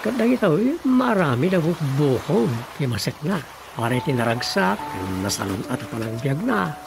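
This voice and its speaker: An easygoing fellow who likes giving advice in a radio drama comedy. His creaky voice has an Ilocano accent and an earnest tone. Music sits clearly under it. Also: fairly high pitch, very animated, moderate pace. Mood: earnest, advising.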